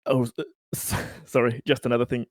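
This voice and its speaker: A man's voice: medium pitch, little pitch movement, normal speed, dry recording. Dry-recorded man, hesitant tabletop player. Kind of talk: casual chat about in-game actions.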